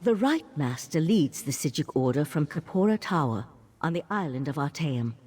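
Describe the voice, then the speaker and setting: Husky, measured mage. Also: male voice, fairly high pitch, big pitch swings, darkish tone. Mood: earnest. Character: calm male mage of a secretive magic order. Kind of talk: video game voice acting.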